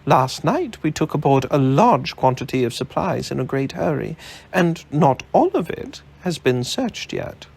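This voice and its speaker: Man voicing a poised, creaky woman scientist. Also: a little high, big pitch swings, measured pace, a little mellow, voice pushed up, heavily acted. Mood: grave.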